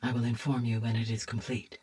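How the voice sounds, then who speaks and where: A woman voicing a calm, courteous, motherly artificial intelligence in a video game, speaking softly with measured, precise, formal diction. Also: very deep voice, slightly rough, distant mic. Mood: calm, explanatory. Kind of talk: scripted video game voice acting.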